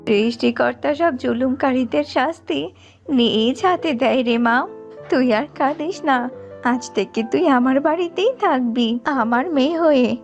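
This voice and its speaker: A woman's voice: high-pitched, normal speed. Old granny in a cartoon, a woman acting it, kind n comforting, bit dull audio, music behind. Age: older. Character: kindly old grandmother, comforting, childless landowner.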